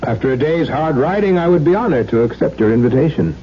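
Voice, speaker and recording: A man's voice: on the high side, animated, fast talker, close to an everyday voice. A man acting an urbane, skeptical baron in a radio drama, with a formal, teasing, arch manner. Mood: polite. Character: urbane, wry baron, skeptical gentleman cousin. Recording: muffled 1970s radio broadcast with noise.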